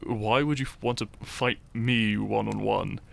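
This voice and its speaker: A man playing an earnest, shy young hero in a roleplay audio drama, speaking to the listener in a halting, theatrical way. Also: fairly low pitch, fairly even intonation, gravelly, fairly bright tone, heavily acted. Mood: flustered, earnest. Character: shy young hero, earnest, secretly fond of his foe.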